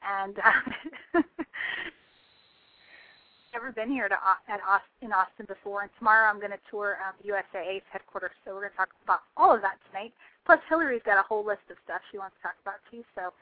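Slightly raspy woman hosting, dry muffled sound. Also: mid-range voice, a little fast, dry recording. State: recovering voice, prone to coughing. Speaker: radio show host. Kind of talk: casual live chat. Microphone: calling in by phone. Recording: dull, dry call-in audio.

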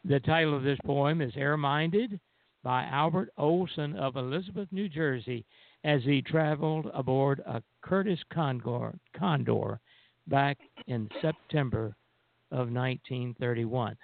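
Slow, gravelly man on an echoey phone line. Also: mid-range voice. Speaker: radio show co-host. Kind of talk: poem recitation, then casual show talk. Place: call-in internet radio show over phone. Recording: band-limited phone line with echo.

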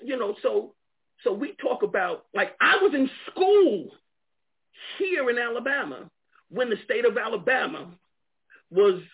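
A man's voice: very high-pitched, sing-song, a little slow, breathy edge. A man with a New York accent discussing education funding earnestly, often restarting his words. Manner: earnest, many repeated restarts. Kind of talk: animated discussion on a call. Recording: tinny phone-like line.

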